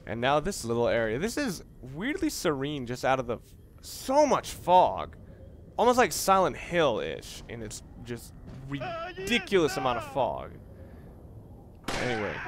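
A man giving casual, rambling gameplay commentary as a let's play gamer, with wry, sarcastic asides. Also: medium pitch. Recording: noisy, game sounds behind. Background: video game ambience.

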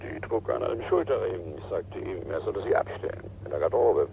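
Crusty old army colonel in radio crime play, gravelly and snappy, bit of noise. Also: male voice, sits low, expressive, fast, muffled recording. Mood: hesitant, uneasy. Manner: curt, swearing, stubborn. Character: gruff, irritable retired colonel and art collector. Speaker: actor. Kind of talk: German radio crime drama acting. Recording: slightly noisy old radio play recording.

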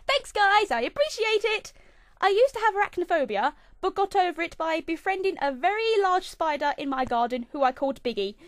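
A young woman with an English accent talks through a scripted list about herself. Her voice sits high and swings up and down, bright one moment and then a low mutter the next. It is recorded dry and close on a home computer mic. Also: dry recording. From England. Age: 20s. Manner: chirpy, bouncy delivery with sudden dips into a low mutter. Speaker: channel host. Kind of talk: scripted fifty-facts vlog.

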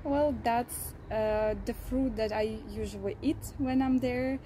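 A young woman, a summer school participant who grew up in Russia, answering interview questions outdoors with thoughtful pauses. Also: a little high, steady tone, warm tone. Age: young adult. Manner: thoughtful, pausing with ums. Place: outdoors beside a building, under a canopy. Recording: outdoor noise behind the voice.

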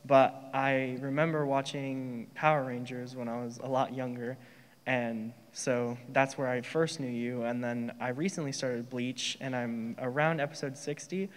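A man with an average-pitched voice puts a question from the audience. He talks casually and a little haltingly, and his voice carries long reverb from a large hall. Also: steady tone. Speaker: audience member asking a question. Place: large convention panel room.

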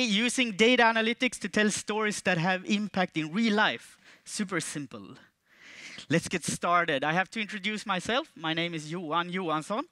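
Swedish man in his thirties giving a jokey stage talk; high, clear voice, close and dry on a headset mic. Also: no echo. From Sweden. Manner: playful, jokey, with animated jumps in pitch and volume. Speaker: conference speaker. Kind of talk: stage presentation. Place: large dark auditorium stage with audience.

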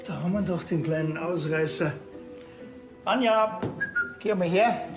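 A man's voice: sits high, measured pace, over music. An actor with a Bavarian accent playing a dry, grumbling, sarcastic policeman in a TV crime comedy. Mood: sardonic. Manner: sardonic, grumbling.

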